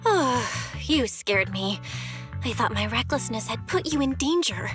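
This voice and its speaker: A woman's voice: a little high, expressive, a little slow, fairly bright tone, soft background music. A woman voicing a caring, impulsive young girl in a video game. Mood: relieved.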